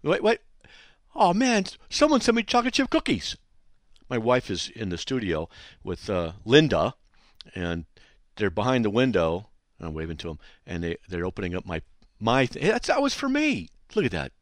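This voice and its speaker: A man hosting a call-in radio show from a studio, his voice hoarse and scratchy, chatting and joking with listeners. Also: fairly low pitch, huge pitch swings, normal speed, dry recording. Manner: chatty and joking, breaking off to talk to people behind the studio window. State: hoarse, voice still shot. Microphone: studio microphone.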